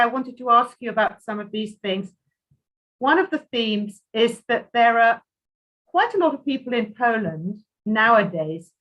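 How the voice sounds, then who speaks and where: A woman interviewer putting prepared questions in a measured, thoughtful way. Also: a little high. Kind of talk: prepared questions in a live conversation. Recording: dull, muffled audio.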